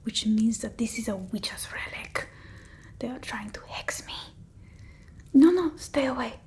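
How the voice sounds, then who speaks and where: Slow, soft woman acting a vampire lady. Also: fairly high pitch, expressive. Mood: suspicious, alarmed. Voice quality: soft, breathy, often whispered. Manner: halting, theatrical, with quaint phrasing. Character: eccentric centuries-old female vampire, dramatic, doting, superstitious. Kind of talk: ASMR roleplay. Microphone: close ASMR microphone.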